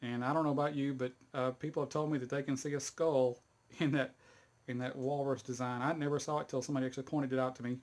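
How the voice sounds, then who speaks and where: A man's voice: mid-range voice, level tone, fast, darkish tone. A middle-aged man with a Texas accent casually walks through a fidget spinner cleaning demo in a rambling, folksy way. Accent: Texan. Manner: rambling, folksy, chuckles often, many fillers. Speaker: YouTube hobbyist demonstrating fidget spinner cleaning. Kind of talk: casual how-to demonstration.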